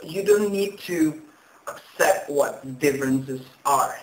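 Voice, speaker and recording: A man's voice: fairly high pitch, wildly swooping pitch, slow pace, vocal fry. A man, an international student, talking casually in an interview testimonial. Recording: echoey room with faint noise.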